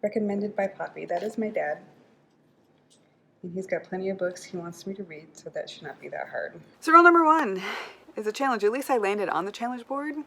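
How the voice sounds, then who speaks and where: A woman's voice: medium pitch, animated, fairly quick, vocal fry, sharp tone. A woman book vlogger chatting casually and informally to camera, thinking aloud as she goes. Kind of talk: casual chat to camera while playing a reading-list game.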